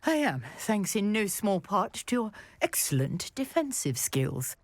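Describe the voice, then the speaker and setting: A brisk, confident historian with a wry, crisp delivery and a lively voice. The recording is dry and close. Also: female voice, medium pitch, dry recording. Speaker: historian and archaeologist.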